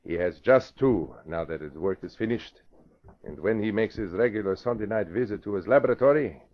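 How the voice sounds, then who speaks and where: An actor playing a ruthless, self-satisfied enemy agent, sinister and deliberate, in an old radio drama. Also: male voice, fairly low pitch, animated. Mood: smugly menacing. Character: gloating, ruthless male enemy agent plotting murder. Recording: muffled vintage radio recording.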